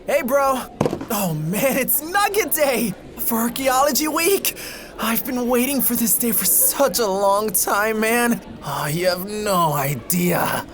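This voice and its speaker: A man's voice: extremely high pitch, expressive, slow, over music, exaggerated voice. A man playing an easygoing young college student in an audio drama, talking in slangy bro speak to his best friend. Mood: excited. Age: young adult. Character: easygoing, food-loving college guy, loyal blunt best friend.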